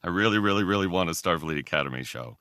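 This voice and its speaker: Low, bright-voiced male podcast guest. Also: expressive, fast talker, clear, resonant tone, no room sound, studio quality. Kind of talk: casual chat.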